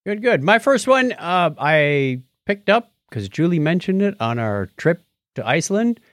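Higher-voiced, animated man chatting on a podcast. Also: measured pace, dry recording. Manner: jokey, playful banter. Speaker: podcast co-host. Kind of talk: casual podcast chat.

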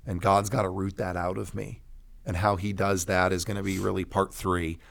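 Low-voiced, emphatic American preacher man. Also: level tone, moderate pace, creaky. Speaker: pastor guest telling his testimony. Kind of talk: personal testimony in an interview.

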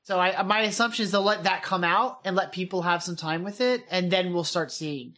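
A young woman co-hosting a podcast, chatting casually in a quick, animated way with lots of asides. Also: on the low side. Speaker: podcast co-host. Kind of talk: casual chat.

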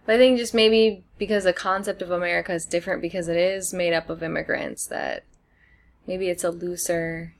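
A woman's voice: on the low side, a little fast, vocal fry. A woman co-hosting a podcast in casual, conversational discussion. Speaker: podcast co-host.

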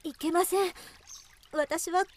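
A woman playing a gentle, timid young mountain maiden with demure, old-fashioned speech in a radio drama. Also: very high voice, steady tone, slow. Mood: timid, pleading. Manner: polite, demure archaic speech.

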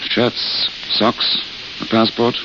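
An actor playing a gentle, penniless wandering writer in a 1930s radio drama, polite, halting and literate. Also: male voice, mid-range voice, monotone, lightly acted. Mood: courteous, wistful. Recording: muffled, crackling old radio broadcast.

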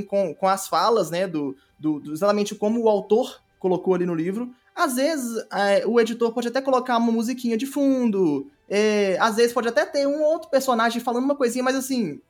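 A Brazilian man talking casually as an interview guest, explaining things step by step with examples. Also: high-pitched. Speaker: podcast guest, audio drama creator.

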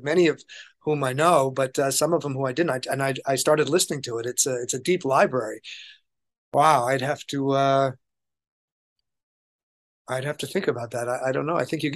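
A man speaks as the guest in a relaxed interview. His voice sits a little high. He is an enthusiastic touring keyboard player who explains things through anecdotes in an informal, conversational way. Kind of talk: casual interview chat.

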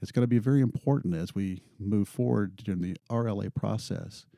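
A man's voice: on the low side, a little fast, gravelly, mellow, dry recording, clean studio sound. A man in his fifties, a pilot union representative, answering interview questions earnestly and often repeating words as he thinks.

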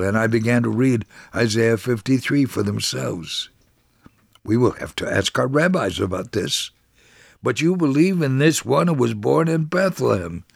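Gravelly, deep-voiced man telling a story. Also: dry recording, studio quality. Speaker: narrator of a first-person testimony story. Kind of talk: dramatic scripted story reading on religious radio.

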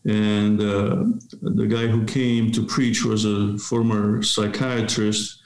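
A man in his fifties from Romania, a seminary professor answering an interview in a measured way with many fillers. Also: on the low side, flat delivery, a little mellow, echoey room. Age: 50s.

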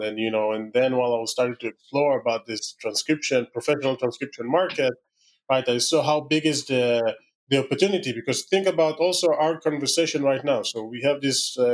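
A man with an Israeli accent talks through his startup story in a podcast interview, his voice sitting at an ordinary middle pitch. He pauses often, leans on "you know" and "uh," and bends his English grammar as a second-language speaker. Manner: halting, many "you know" fillers, non-native grammar. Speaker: startup founder and CEO.

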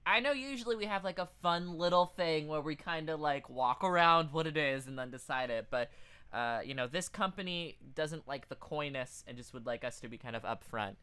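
A man's voice: on the high side, moderate pace, sharp tone, clean tone. A man co-hosting a comedy podcast, talking in informal, joking patter. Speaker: podcast co-host. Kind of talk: casual comedic banter, mock ad read.

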